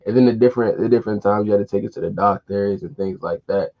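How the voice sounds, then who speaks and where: A man's voice: on the low side, fast talker. A man in his twenties with an American accent, talking casually as a panel guest. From Washington DC area, United States. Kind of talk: casual chat. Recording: dull, lo-fi call audio.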